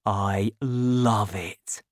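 A man reading an audiobook, voicing a scheming father in a lowered, softer, confiding voice. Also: expressive, slow, no room sound, studio quality. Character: warm, scheming father, quietly resentful. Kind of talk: audiobook character voice.

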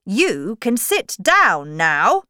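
A woman's voice: fairly high pitch, sing-song, very slow, a bit bright, clean tone, no echo, studio quality, pitched above the performer's own voice, full character voice. A woman voicing a pompous, stern king who commands and questions in a storybook. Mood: angry.

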